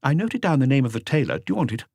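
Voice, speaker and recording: A man voicing an inquisitive, businesslike police forensic technician in an audiobook, brisk and matter-of-fact. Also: medium pitch, very animated, darkish tone, no room sound, clean studio sound, pitched above the performer's own voice. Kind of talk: audiobook character dialogue.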